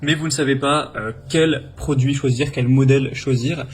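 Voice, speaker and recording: A man's voice: mid-range voice, steady tone, moderate pace, a little hiss or hum. A man narrating a product review voice-over in a casual, explanatory way, often hesitating with filler words. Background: background music.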